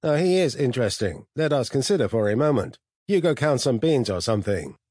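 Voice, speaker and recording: A man voicing a disdainful, boastful super-intelligent computer in a loud, sharp, insulting manner for an audiobook. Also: mid-range voice, big pitch swings, fairly quick, no echo, studio quality, voice pushed up. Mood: haughty. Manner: haughty, insulting.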